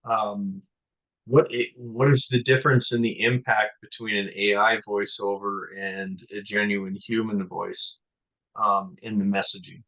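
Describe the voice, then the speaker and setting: Chatty man with a bright voice. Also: lowish voice, flat delivery, a little slow. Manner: chatty, asks long winding questions with fillers. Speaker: podcast host. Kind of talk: conversational interview. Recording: dull lo-fi sound.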